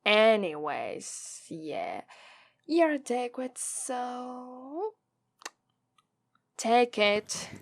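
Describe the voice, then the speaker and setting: A woman's voice: fairly high pitch, sing-song, creaky, exaggerated voice. A woman with an Italian accent voicing a haughty, menacing female demon who taunts and condescends in an ASMR roleplay. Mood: aloof, condescending. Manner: condescending, drawn-out, taunting delivery.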